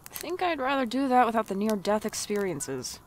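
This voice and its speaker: A woman's voice: on the high side, very animated, moderate pace. A woman playing an anxious, sarcastic young shop worker in an audio drama, with wry, exasperated narration and dialogue. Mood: wry, fed up. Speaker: podcast drama lead and narrator.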